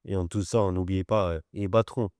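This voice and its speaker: A man's voice: deep voice, steady tone, a little fast, a little mellow, no room sound, clean studio sound. A man with a German accent whose first language is German, a chef telling stories and teasing in casual chat. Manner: teasing, storytelling.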